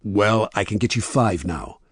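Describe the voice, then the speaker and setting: A man's voice: on the low side, a little slow, slightly rough, no echo, studio quality. A man voicing a panicky, pleading businessman caught cheating, in an audiobook. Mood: anxious bargaining. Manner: flustered, pleading. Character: panicky cheating husband, desperate businessman, bargaining.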